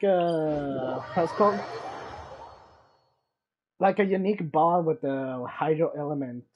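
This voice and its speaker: A woman's voice: low voice, dark tone, muffled recording. A woman giving casual gameplay commentary as a streamer, hesitantly searching for words. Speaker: game streamer.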